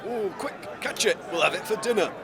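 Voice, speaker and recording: Man playing a grumbling, animated spectator. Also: high voice, brisk. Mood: blunt. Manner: blunt, indignant. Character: gruff, grumbling male drinking spectator. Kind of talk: comedy sketch acting. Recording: outdoor crowd noise.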